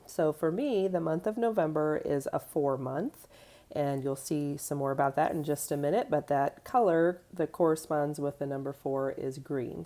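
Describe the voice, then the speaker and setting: A woman's voice: sits low, dark tone. A woman who is getting over a cold, chatting casually at home as she shows her planner, with fillers and small self-corrections. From United States. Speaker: vlogger. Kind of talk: casual show-and-tell. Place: home kitchen.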